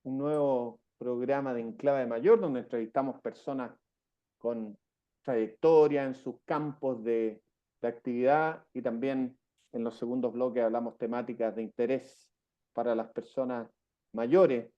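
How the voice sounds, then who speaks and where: A man with a Chilean accent hosting a radio interview, chatty and quick to jump in with his own stories. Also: mid-range voice, fairly even intonation, muffled recording. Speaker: radio host.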